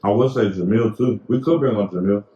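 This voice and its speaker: A man's voice: fairly low pitch, brisk, warm tone, echoey room, lo-fi sound. A young man co-hosting a podcast in casual, rambling chat full of slang and fillers. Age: young adult. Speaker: podcast co-host.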